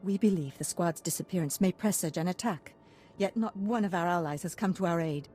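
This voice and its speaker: A woman's voice: on the low side, a little fast, dark tone, soft background music, natural voice. A woman playing a poised, grave baroness with a formal, courtly manner in a video game. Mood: grave concern.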